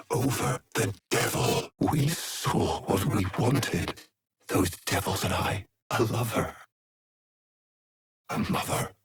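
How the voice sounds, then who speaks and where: An actor playing an imperious, bitter, tormented aristocratic game villain, theatrical and breathy, lingering on his words. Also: medium pitch, a bit bright, a little hiss or hum, exaggerated voice. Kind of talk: dramatic video game villain acting.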